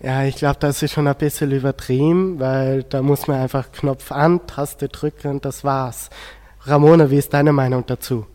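A man's voice: medium pitch, steady tone, moderate pace, dark tone. Teenage Austrian boy hosting, long reverb. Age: teens. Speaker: student radio host and moderator. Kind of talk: moderated radio discussion.

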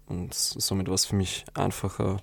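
Low, flat, gravelly-voiced man. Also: normal speed, no echo. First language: German. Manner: thoughtful, hesitant, with restarts. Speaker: interviewed poet. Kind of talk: reflective interview answers.

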